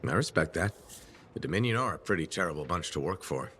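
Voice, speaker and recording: An actor playing a laconic, steady freelance soldier in a video game, with a dry, deadpan manner. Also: male voice, sits low, quick pace. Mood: calm, sympathetic. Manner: deadpan, brief replies.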